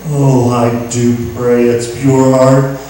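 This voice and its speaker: A man's voice: medium pitch, level tone, slow, dark tone, lightly acted. A man playing a morbid, envious, greedy frontier undertaker, unctuous and gloating, in a radio comedy. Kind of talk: old-time radio comedy western acting. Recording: very echoey room, distant mic, faint noise.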